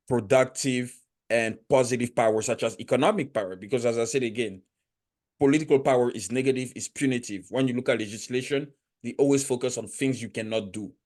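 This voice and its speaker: A man's voice: medium pitch, darkish tone. A man who learned English as a second language, hosting a podcast interview and arguing his points earnestly, often restarting his sentences. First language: not English. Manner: earnest, argumentative, building points step by step; frequent restarts and repeated words. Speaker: podcast host. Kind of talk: casual interview chat.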